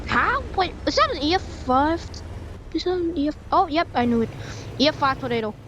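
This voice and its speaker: A woman giving excitable live gameplay commentary, with frequent short repeated exclamations. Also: sits high, a little fast, lo-fi sound, noisy background. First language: Thai. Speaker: gamer playing a storm-chasing game.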